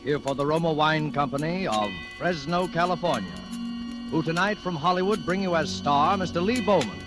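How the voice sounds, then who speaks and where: A man with a slightly high voice narrates a suspense programme, formal and ominous in theatrical 1940s radio style. Loud music plays behind him on the vintage recording. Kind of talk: 1940s radio announcing, scripted dramatic narration.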